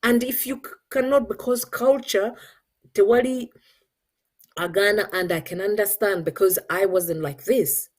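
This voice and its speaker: A woman's voice: mid-range voice, measured pace. A middle-aged woman with a Ugandan accent gives an earnest motivational talk as host, switching between English and Luganda. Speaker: show host.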